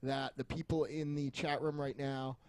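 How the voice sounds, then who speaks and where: A man's voice: mid-range voice, little pitch movement, moderate pace, darkish tone, dry recording. A man chatting casually as a podcast co-host, rambling and joking with frequent chuckles and some swearing. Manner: jokey, foul-mouthed, rambling, often chuckling.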